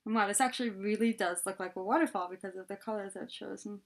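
A woman's voice: mid-range voice, fast. A young woman talking casually and chattily through a hands-on tutorial, explaining step by step as its host. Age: young adult. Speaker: head scarf tutorial host. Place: small room indoors, plain wall.

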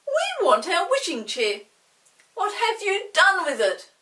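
A woman's voice: very high-pitched, wildly swooping pitch, slow pace, pushed and bright, far from the mic, pitched above the performer's own voice, heavily acted. A woman voicing a hot-tempered, bossy young male pixie in a children's storybook, with emphatic, scolding delivery. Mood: angry.

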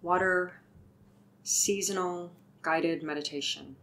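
A woman with a low voice guides a meditation at a very unhurried pace. Her delivery is soothing and deliberate, giving each instruction gently. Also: fairly even intonation, low-quality recording.

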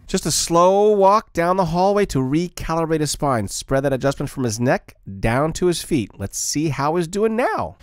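A man's voice: a little high, expressive, normal speed, hardly any pauses, smooth. A man narrating an explanatory chiropractic video in a plain, informal voice-over style.

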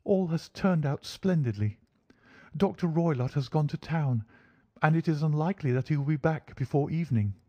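A man voicing an anxious, genteel young English gentlewoman, earnest in manner, in a storybook reading. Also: fairly high pitch, expressive, moderate pace, dry recording, dull audio, pitched above the performer's own voice. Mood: eager, relieved.

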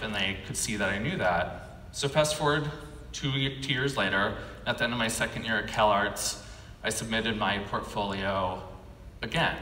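An American man in his thirties giving a prepared autobiographical keynote speech, telling his story in measured short phrases with pauses. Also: on the low side, pushed and bright. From California, United States. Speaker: keynote speaker, animation artist. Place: conference stage at a podium, indoors. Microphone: podium gooseneck microphone. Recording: echoey hall PA, faint background noise.

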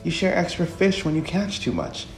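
A friendly, plainspoken delivery woman, acted by a man in a visual novel. The delivery is chatty and very varied in pitch. It has a roomy echo with music behind it. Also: normal speed. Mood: friendly, dismayed. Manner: expressive, animated. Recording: echoey room under music.

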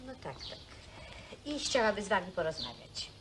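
An actress playing a bustling, bossy hostel supervisor, brisk and chiding, in naturalistic film style. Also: female voice, medium pitch, sing-song, natural voice. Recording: noisy old film soundtrack.

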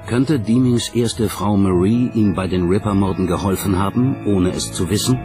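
A man's voice: lowish voice, normal speed, over music. A man narrating a documentary from a script in a dramatic storytelling style.

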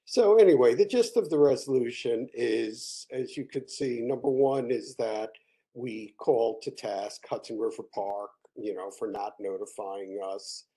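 A man presenting a resolution in a video-call board meeting, measured and explanatory with frequent fillers. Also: medium pitch, mellow, rough recording. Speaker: committee chair presenting a resolution.

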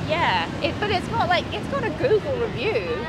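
Woman in her thirties, lively travel vlog chat, high bright voice, heavy street traffic behind. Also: normal speed, over a noisy crowd. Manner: chatty, giggly, coos at animals. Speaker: travel vlogger. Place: busy city street outdoors. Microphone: handheld mic. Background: traffic noise.

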